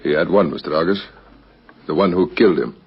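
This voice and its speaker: An actor playing a brisk, persistent police captain in a radio crime drama, questioning formally. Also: male voice, low voice, natural voice. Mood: pressing, suspicious. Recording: dull vintage radio recording.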